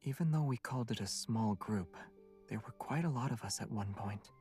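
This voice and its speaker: An actor playing a guarded, secretive young starship captain, speaking softly and in a hush. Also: male voice, lowish voice, normal speed, faint music behind, lightly acted. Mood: calm.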